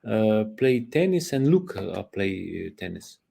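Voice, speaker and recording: Middle-aged man, slow and gravelly, halting answers with lots of fillers. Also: fairly low pitch, very animated, warm tone. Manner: halting, searching for words, many fillers. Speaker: guest, profiling institute founder. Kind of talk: interview answers.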